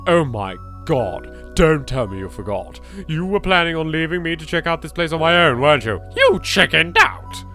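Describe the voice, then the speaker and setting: A man's voice: on the high side, lively intonation, moderate pace, hardly any pauses, bright, forceful tone, soft background music, pitched above the performer's own voice. A British man voicing a jittery, chatty young woman in a horror game, exasperated and teasing. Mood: pouting, nagging.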